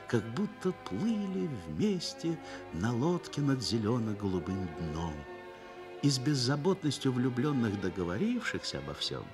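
A man narrating a radio drama in an expressive literary style. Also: mid-range voice. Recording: music bed behind narration. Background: music.

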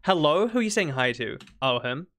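Playful high-voiced New streamer. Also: male voice, sing-song, brisk, fairly bright tone. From New Zealand. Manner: playful, jumps to high squeaky protests when teased. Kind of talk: casual game stream chat.

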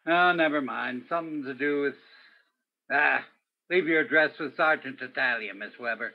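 Male actor as weary city detective, radio drama, mid-pitched, pensive, measured, dramatic, muffled sound. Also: gravelly. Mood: courteous, probing. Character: brooding, world-weary male Broadway police detective narrator. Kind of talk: radio play acting with poetic noir narration. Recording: dull, muffled lo-fi audio.

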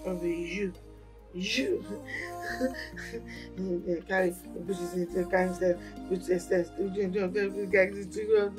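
A woman's voice: mid-range voice, close to an everyday voice. An actress playing a mentally disturbed, childlike young woman with a Nigerian accent and a repetitive, rambling way of talking, in a film drama. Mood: agitated, muttering.

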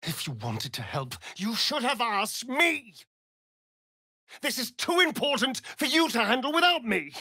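An actor playing an arch, flirtatious, sardonic vampire rogue in a video game, with a theatrical drawl. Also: male voice, very high-pitched, sing-song, a little slow, sharp tone, dry recording, clean studio sound, heavily acted. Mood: indignant. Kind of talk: video game character dialogue.

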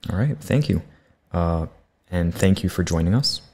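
A man's voice: low voice, lively intonation, normal speed, darkish tone, husky. A young man hosting a student podcast, politely reading prepared questions into a studio condenser mic. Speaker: podcast host. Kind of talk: scripted interview hosting. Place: small bright studio room. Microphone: studio condenser mic on boom arm.

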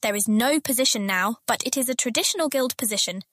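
A bold female captain of thieves in a fantasy audiobook drama. Her voice sits fairly high, and she presses her case with assertive, persuasive ambition. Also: expressive, quick pace, a bit bright, a little hiss or hum.

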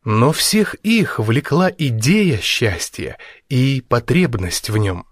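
A man narrating a nonfiction audiobook in measured, deliberate phrases with pauses. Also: medium pitch, expressive, breathy edge, no echo, clean studio sound. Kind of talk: scripted narration of a nonfiction book.

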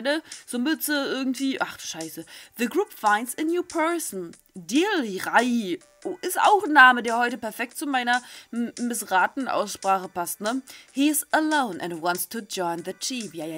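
A woman's voice: a little high, fairly bright tone. A middle-aged German woman giving chatty, candid, coarse-mouthed commentary on a game and reading its text aloud into a desk microphone. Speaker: gaming video host. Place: indoors, small home recording setup. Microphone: desk microphone close to the mouth. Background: game music.

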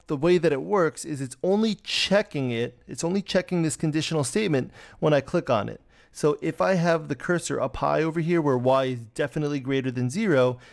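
A man with a fairly high voice, patiently walking through a coding lesson. He explains each step calmly as he works through a screen recording. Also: creaky. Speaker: computer science teacher. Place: voice-over recorded over screen capture.

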